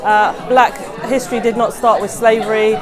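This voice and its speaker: A woman's voice: a little high, fairly even intonation, normal speed. A woman giving an informal answer in an interview at an event. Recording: music and crowd in large room. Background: music and chatter at indoor event.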